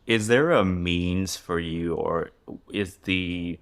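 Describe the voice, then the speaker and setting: Deep-voiced male podcast host. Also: clean tone. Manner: thoughtful, measured, with restarts. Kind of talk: interview conversation.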